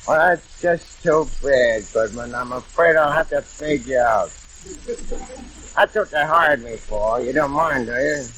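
Actor playing a slow-talking, dim gangster hitman. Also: medium pitch, a bit bright, slight background noise, close to an everyday voice, poor audio. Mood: matter-of-fact menace. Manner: slow, plain-spoken, naively courteous. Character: dim, polite novice gangster hitman who hates swing. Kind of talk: 1940s radio comedy acting. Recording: old radio recording with music.

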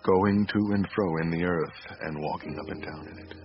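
A man plays an earnest father in a radio drama, subdued and full of regret. His low voice is sincere and plain. The audio is dull, with faint music behind it. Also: steady tone, moderate pace, natural voice. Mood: solemn. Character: Martin, earnest, regretful small-town father.

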